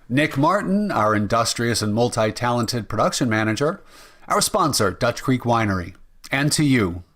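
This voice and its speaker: A man's voice: medium pitch, a bit bright. A man reading a scripted show outro as the announcer.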